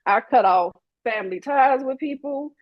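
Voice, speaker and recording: A woman's voice: high-pitched, normal speed, no echo, lo-fi sound. A woman in her forties, a guest in an interview, speaking candidly in a sassy, emphatic way and acting out the people she quotes. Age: 40s.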